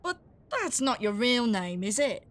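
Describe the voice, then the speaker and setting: A cheeky little street girl in an audio drama. Her voice is high and swoops about, teasing and knowing. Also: big pitch swings, a little slow, a bit bright.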